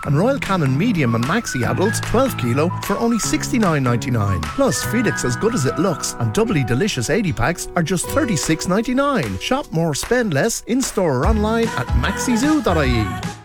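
A voice-over artist briskly lists products and prices for a shop advert, with a lively rise and fall. Clear music runs underneath. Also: mid-range voice. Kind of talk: retail advert read.

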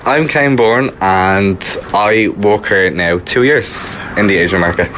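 A young man with a Dublin accent answers a reporter's questions about his work. He speaks earnestly and with enthusiasm, in a slightly low voice. Faint noise sits in the background. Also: a little slow, a bit bright. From Ireland. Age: 20s. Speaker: shop worker. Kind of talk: interview answers.

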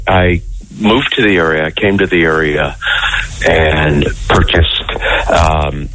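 A man's voice: rumbling low, a bit bright, poor audio. An American man from Connecticut, a guest on a call-in line, chatting in a friendly way with frequent ums. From Connecticut, USA. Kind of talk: casual interview chat.

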